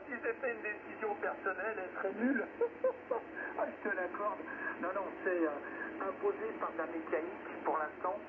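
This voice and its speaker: A man with a high voice chats over amateur radio. The signal is narrow and tinny, with clear noise throughout. He talks informally, pausing to search for words. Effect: radio transmission. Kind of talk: casual radio contact chat. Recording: tinny, noisy shortwave radio reception.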